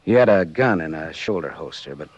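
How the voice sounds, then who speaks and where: Hardboiled 1940s radio detective, American, deep voice, wry and world-weary, muffled old-broadcast sound. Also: male voice, animated, brisk, husky, dry recording, exaggerated voice. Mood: brooding narration. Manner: sardonic, clipped, world-weary. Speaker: actor and narrator in a radio drama. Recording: dull 1940s radio recording.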